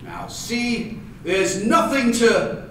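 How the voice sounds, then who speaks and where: A man's voice: very high voice, very slow, vocal fry, fairly bright tone, voice pushed up, heavily acted. A man voicing a playfully pompous, jokey father reassuring his child in a storybook reading. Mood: jolly reassurance. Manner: playfully pompous, hearty bravado. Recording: roomy, noisy hall, distant mic.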